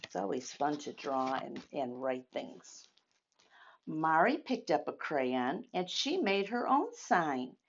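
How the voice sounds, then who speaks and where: Slow-paced woman reading to young children. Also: mid-range voice, muffled recording. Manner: simple, deliberate phrasing addressed to children, with questions. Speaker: children's story-time teacher. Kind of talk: read-aloud storytelling and teaching for young children.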